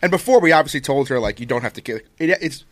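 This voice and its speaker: A young man telling a story fast, his voice jumping up and down in pitch. He sounds enthusiastic and swears freely. The recording is close and dry. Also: animated, dry recording. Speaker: podcast co-host. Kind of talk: casual storytelling.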